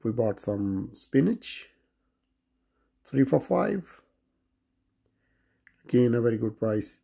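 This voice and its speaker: Gravelly-voiced man touring a grocery store. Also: medium pitch, big pitch swings, takes it slowly, mellow. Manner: plain, listing items and prices. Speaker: vlogger. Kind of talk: grocery store walkthrough narration. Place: large grocery store, indoors.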